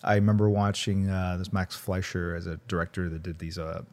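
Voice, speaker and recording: A man's voice: low voice, fairly even intonation, a little fast, a little mellow. A man in his fifties, born in South Korea, talking reflectively as an interview guest, with many fillers and restarts. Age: 50s. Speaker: guest artist. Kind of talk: casual interview conversation.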